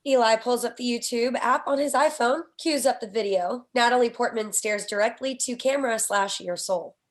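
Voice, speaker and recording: A woman's voice: fairly high pitch, moderate pace. A woman reading scripted screenplay stage directions as narrator at a table read.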